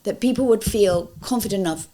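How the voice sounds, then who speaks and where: A pantomime actress telling lively stage anecdotes in an interview, teasing and playful. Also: female voice, medium pitch, fairly quick. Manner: lively, teasing, laughs through stories.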